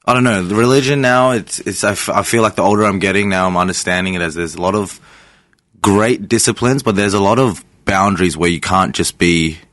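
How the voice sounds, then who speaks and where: A man's voice: sits low, brisk, a bit bright, no echo, clean studio sound. A man in his twenties from Sri Lanka, a native Sinhalese speaker, chatting casually as a podcast guest, laid-back, laughing easily and trailing off mid-thought. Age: 20s. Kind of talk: casual chat. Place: podcast studio.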